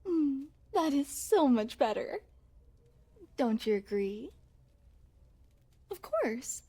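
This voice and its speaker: Yandere princess, female performer, ASMR roleplay: high and unhurried, regal diction, coy sweetness with possessive menace. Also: sing-song, warm tone, heavily acted. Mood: sweetly menacing. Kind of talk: ASMR roleplay, scripted monologue to the listener.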